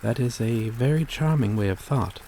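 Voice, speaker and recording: A man's voice: mid-range voice, moderate pace, mellow, close to an everyday voice. Man playing a courteous vampire, noisy ambience. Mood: courteous, grateful. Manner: formal, polite, hesitant, apologetic. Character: courteous, gentle male vampire stranger, kindly and old-fashioned. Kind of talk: intimate ASMR roleplay acting.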